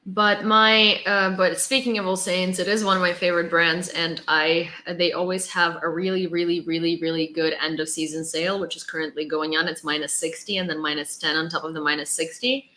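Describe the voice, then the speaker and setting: A young woman from Ukraine hosts a relaxed livestream, rambling through chat with lots of fillers. Her voice is bright and a little tense, and it rings in an echoey room. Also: fairly low pitch, fairly quick, hardly any pauses. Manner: chatty, rambling, many fillers and restarts. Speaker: livestream host. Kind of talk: casual livestream chat, reading viewer comments.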